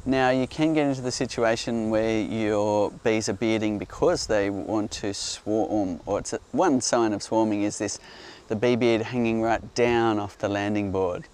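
A man calmly explaining beekeeping outdoors among hives, speaking in a gentle, explanatory way while hosting a live Q&A. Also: on the low side, measured pace, few pauses, clean tone. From Australia. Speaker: beekeeper host. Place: outdoors on a hillside among beehives. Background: faint outdoor ambience and buzzing bees.

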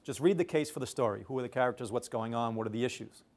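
A man's voice: medium pitch, racing, darkish tone. A middle-aged man, a professor, teaching informally and explaining step by step in a conversational way in a classroom. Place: tiered university classroom.

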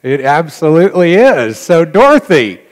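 A middle-aged man, a pastor addressing his congregation informally in a folksy, dry manner with long pauses, in a large church. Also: a little high, sing-song. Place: large church sanctuary, indoors. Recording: reverberant church hall.

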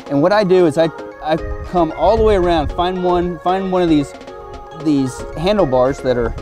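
Man in his forties, slightly high voice, clear background music, casual how-to demonstration, short step-by-step phrases. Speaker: product demonstrator. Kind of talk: casual instructional walkthrough.

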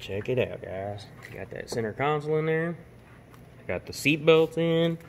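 A man's voice: a little high, animated, a little slow, noisy background. A man giving a rambling, informal walkaround tour of his home garage workshop, speaking into a handheld camera. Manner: rambling, informal, with fillers and restarts. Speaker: garage vlogger.

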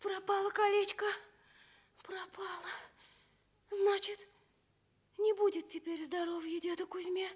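An actress playing an earnest, wistful little village girl in a radio fairy tale, plaintive and given to breathy half-whispers. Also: very high voice, a little mellow.

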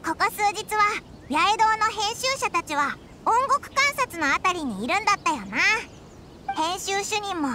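Very high, cheeky little fairy. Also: female voice, very animated, normal speed, background noise. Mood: eager, helpful. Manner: exclamatory, boyish blunt phrasing. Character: small childlike fairy companion, cheeky, blunt, rough-spoken. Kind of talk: Japanese game cutscene acting.

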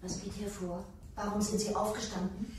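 A woman's voice: mid-range voice, flat delivery, moderate pace, a little mellow, echoey room, a little hiss or hum, natural voice. An actress playing a resolute, suspicious young female carer in a radio play, polite but probing and firm. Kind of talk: German radio play acting.

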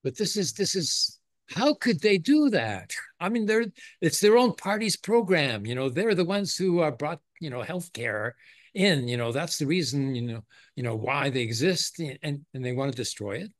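A man's voice: a little high, animated, normal speed. An older man, in his sixties or beyond, hosting a casual political discussion over a video call. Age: 60s or older. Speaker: discussion host. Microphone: video call microphone.

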